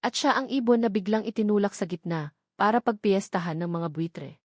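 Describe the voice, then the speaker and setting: A woman's voice: mid-range voice, a little fast, a little mellow, no echo. Dramatic woman narrator, dry recording. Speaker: story narrator.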